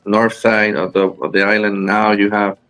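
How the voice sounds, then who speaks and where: A man from Rico, a guest wrestler chatting in an interview, halting with many fillers and restarts. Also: lowish voice, little pitch movement, a bit bright, faint music behind. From Puerto Rico. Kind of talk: casual interview chat.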